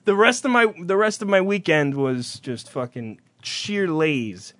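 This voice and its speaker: Crude, teasing man with a slightly high voice. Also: expressive, a little slow, a bit bright, no echo. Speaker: podcast co-host. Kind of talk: casual banter.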